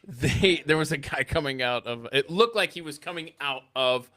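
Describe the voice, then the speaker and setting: A man hosting a casual comic studio chat, joking and thinking aloud in a halting way. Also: a little high, bright, forceful tone. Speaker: radio show host. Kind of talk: casual comic radio banter.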